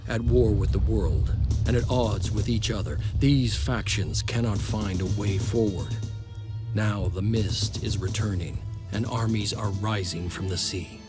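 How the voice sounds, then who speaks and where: An actor playing a solemn male chronicler, narrating a scripted video game cutscene with deliberate phrasing. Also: on the low side, close to an everyday voice. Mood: explaining. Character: solemn male chronicler of a fallen secret project. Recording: loud music behind the narration. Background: loud music.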